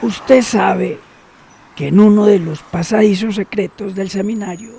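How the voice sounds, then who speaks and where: An actor playing a secretive, cynical older man in a radio drama, who talks slowly and knowingly and drops to near whispers. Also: high voice, creaky, warm tone, slight background noise. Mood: sly.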